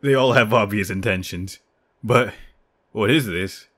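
A man's voice: on the low side, unhurried, a bit bright. A man voicing a self-conscious, defensive young male gamer in a manga dub. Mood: smug, bashful.

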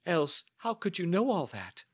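A man's voice: fairly high pitch, lively intonation, unhurried, no room sound, clean studio sound, pitched above the performer's own voice. A man voicing an anxious, chatty young female client in an audiobook, with a flustered, rambling manner. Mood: startled. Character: anxious, good-humored, chatty large young woman client. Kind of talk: audiobook character dialogue.

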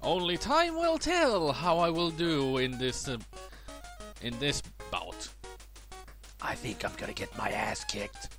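A man's voice: slow pace, music in the background. A man with a cold and a slightly worn voice, casually commenting on his own boxing gameplay in a self-deprecating way. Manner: chatty, self-deprecating, lively swings in pitch. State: has a cold, voice a bit worn. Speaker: let's play gamer.

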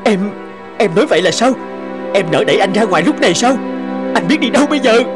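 A man's voice: sits high, expressive, quick pace, music in the background. A man voicing a distraught, pleading young husband in a cartoon drama, plaintive and emotional. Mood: hurt, pleading. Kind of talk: animated drama voice acting.

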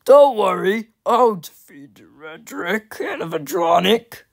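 A woman's voice: medium pitch, lively intonation, slow pace, creaky, full character voice. A woman voicing a big, heroic cartoon eagle with a self-assured, reassuring manner, as amateur fan lines. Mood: confident.

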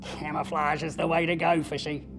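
A woman's voice: sits low, little pitch movement, moderate pace, pitched below the performer's own voice. A woman voicing a smug, sneaky male octopus in a puppet show. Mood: sly.

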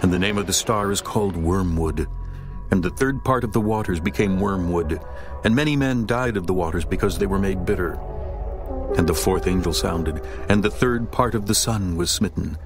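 A man narrating a dramatized scripture reading in a steady, grave voice. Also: low voice, normal speed. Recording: narration over music.

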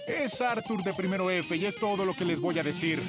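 A man's voice: high voice, animated, fairly quick, over loud music. A man voicing an officious young student gatekeeper in a dubbed cartoon, firm and curt. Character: officious young male student guarding a rehearsal. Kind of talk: cartoon dubbing.

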